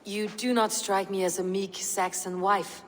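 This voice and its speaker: An actress playing a stern, weary young Viking warrior leader in a video game, speaking bluntly and solemnly. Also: female voice, mid-range voice, level tone, a little slow, slight background noise, lightly acted. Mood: firm, dry. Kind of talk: video game cutscene acting.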